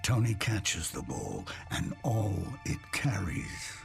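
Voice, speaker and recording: A grave narrator of a sci-fi audio drama, a man with a gravelly, very deep voice. His delivery is measured and solemn, with music playing behind him. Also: wildly swooping pitch, exaggerated voice.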